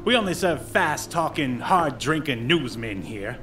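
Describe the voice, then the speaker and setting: An actor playing a sarcastic, gruff bartender with slangy 1950s wisecracking patter in an audio drama. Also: male voice, on the high side, very animated, a little slow, fairly bright tone. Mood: scornful. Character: sarcastic, gruff old-fashioned bartender at newsmen's bar. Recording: faint music underneath.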